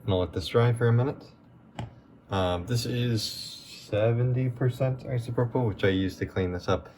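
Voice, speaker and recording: A man hosting a relaxed, chatty repair walkthrough, his voice going a bit hoarse as he works. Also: mid-range voice, moderate pace, slight background noise. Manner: relaxed, chatty, with many fillers. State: losing his voice. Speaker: tech repair video host.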